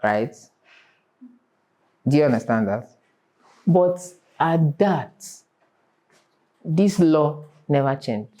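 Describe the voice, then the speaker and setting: A man's voice: a little high, expressive, drawn-out words, long pauses, mellow. Emphatic man teaching a singing class. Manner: emphatic, repeats key points, sings examples. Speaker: vocal coach.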